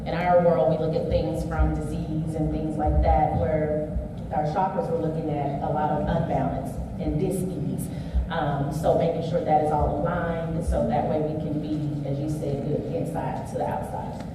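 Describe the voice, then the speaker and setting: Low-voiced woman participant; distant hall, heavy background noise. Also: flat delivery, normal speed. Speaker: workshop participant. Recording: echoey hall from far off, loud noise.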